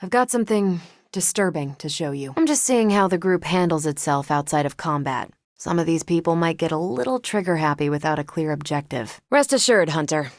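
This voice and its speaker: A woman's voice: deep voice, animated, fairly quick, few pauses, no room sound, studio quality. A woman voicing a confident, wry, brash military superhero in casual banter for a video game.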